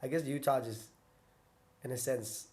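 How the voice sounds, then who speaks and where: A man's voice: medium pitch, fairly even intonation, a little slow, a little mellow. A young man sits as the guest on an interview podcast and talks through his early fighting days. He wanders off on tangents, fills his sentences with "like," and keeps going back to correct himself. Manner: rambling, filler-heavy, self-correcting. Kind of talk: casual storytelling interview.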